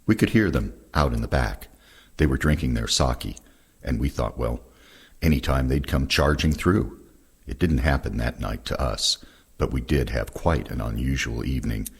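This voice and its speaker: An older man with a gravelly, husky voice reading first-person war recollections aloud. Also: rumbling low, moderate pace. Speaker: reader of veterans' oral histories.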